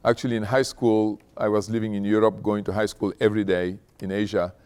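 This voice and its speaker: A middle-aged man from Turkey, speaking informally to an audience as a guest speaker in a halting, conversational way, often adding "you know". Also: fairly low pitch, little pitch movement, a little mellow, clean tone. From Istanbul, Turkey. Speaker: company founder, guest speaker. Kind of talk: informal autobiographical talk to an audience.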